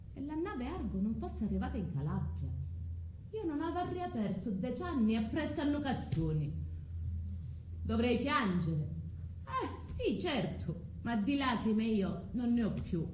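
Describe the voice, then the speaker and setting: A woman's voice: fairly high pitch, very animated, a little slow, a little mellow. An actress with a southern Italian accent playing a bitter, vengeful abandoned foreign woman in a theatrical stage monologue. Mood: sardonic. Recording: echoey room, faint background noise.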